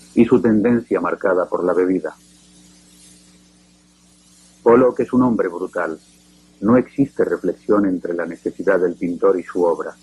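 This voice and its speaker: Man, documentary narrator, low voice, deliberate, grave, scripted. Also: a little mellow, breathy edge, low-quality recording.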